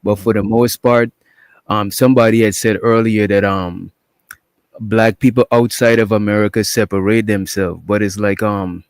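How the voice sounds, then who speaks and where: A man's voice: fairly low pitch, no room sound. A man from Guyana, a DJ guest on an online talk stream, speaking in a laid-back, slangy way with many filler sounds. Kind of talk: casual online talk-show chat.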